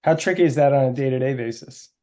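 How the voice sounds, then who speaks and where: Mellow-voiced male interviewer. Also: medium pitch, fast talker. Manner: friendly, probing questions. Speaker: interviewer, host. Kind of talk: casual interview on a video call.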